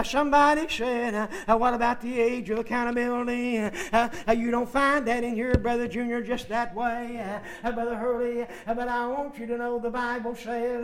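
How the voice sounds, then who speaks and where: Male preacher, rhythmic sing-song chant, lots of "uh" fillers, roomy church sound with faint music. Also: very high-pitched. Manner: calls out congregants by name between phrases. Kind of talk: chanted old-time sermon.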